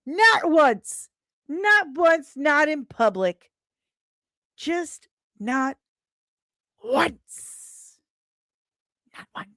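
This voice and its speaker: Lady streamer chatting casually, kinda high voice, playful n teasing, super dry close sound. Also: sing-song, extremely slow, pauses often, pushed and bright, no room sound. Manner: playful, teasing, repeats phrases for emphasis. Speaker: livestream host. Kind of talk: casual livestream chat about entertainment news.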